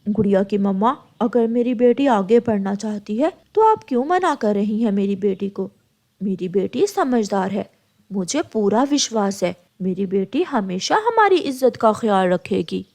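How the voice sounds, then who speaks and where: A woman voicing a gentle, reasonable father bird in a moral-story cartoon, measured and reassuring. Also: medium pitch, animated, close to an everyday voice.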